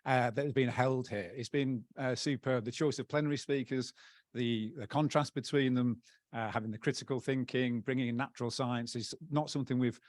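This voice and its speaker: A man at a conference podium gives closing thanks in an upbeat, joking way, often pausing and restarting mid-sentence. His voice sits in an ordinary middle range. The sound is dry and close, with almost no room echo. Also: mid-range voice, steady tone, a little mellow. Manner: upbeat, joking, halting with many fillers. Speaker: outgoing association president. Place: conference hall plenary.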